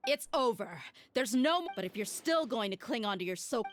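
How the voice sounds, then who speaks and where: A woman's voice: fairly high pitch, expressive, a little fast, a little hiss or hum, close to an everyday voice. A woman playing a tough, world-weary mercenary in a video game, speaking in a blunt, commanding way. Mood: stern. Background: game music.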